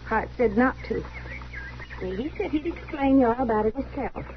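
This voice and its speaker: A woman's voice: on the high side, expressive, normal speed, lightly acted. An actress playing a loyal, stubborn frontier woman with a rural American accent, insistent and defensive, in a radio western. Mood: defensive, anxious. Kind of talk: old-time radio western drama acting. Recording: muffled old radio broadcast, faint noise.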